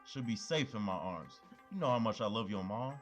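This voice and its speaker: A man's voice: fairly low pitch, animated, brisk, faint music behind, lightly acted. A man playing a cheeky young best friend who loves food and talks in loose, slangy banter. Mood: mock-serious. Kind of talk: amateur audio drama acting.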